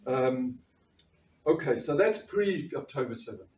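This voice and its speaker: A man's voice: medium pitch, expressive, takes it slowly, darkish tone. A man in his sixties from South Africa with a South African accent, giving an earnest talk at a meeting and reading figures from notes with frequent restarts. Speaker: doctor and panel speaker. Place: bright meeting room, standing before a panel table. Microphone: far camera. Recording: echoey room from far off.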